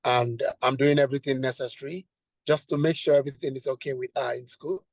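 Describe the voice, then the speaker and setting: A man's voice: medium pitch, steady tone, fast, dry recording. A man answering in a casual interview, hesitant and repeating his words. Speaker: interview guest.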